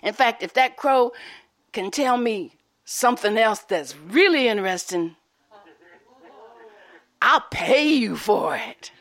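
A booming, good-natured old husband, put on by a woman storyteller. He hollers and marvels with big swings in his voice. The talk stays hearty throughout. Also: expressive, slow pace, pauses often, fairly bright tone, exaggerated voice. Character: jovial burly old husband.

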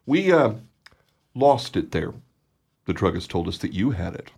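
A man's voice: lowish voice, very animated, measured pace, creaky, a little mellow, breathy edge, heavily acted. A man playing a cool, menacing gangster in charge, needling with questions in an old-time radio thriller. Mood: coolly menacing.

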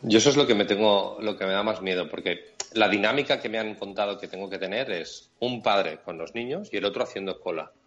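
A man's voice: lowish voice, brisk, few pauses, a bit bright. A man from Catalonia chatting casually on a podcast, joking and sarcastic as he tells stories. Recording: dull lo-fi sound.

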